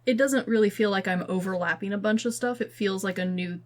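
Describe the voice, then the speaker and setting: Female podcast co-host, mid-range voice, informal conversational delivery, halting and thinking out loud. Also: medium pitch. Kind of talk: casual chat about films and games.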